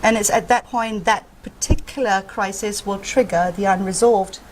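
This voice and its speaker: Calm, frank woman psychotherapist. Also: medium pitch, moderate pace, background noise. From Malaysia. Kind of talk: interview guest, explaining her work.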